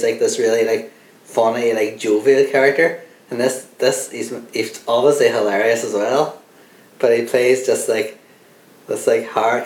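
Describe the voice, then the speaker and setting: A man's voice: mid-range voice, a little mellow. A young Irish man chatting casually about films on a podcast, rambling with lots of fillers and restarts. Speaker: podcast co-host. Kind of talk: casual film chat. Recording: echoey room.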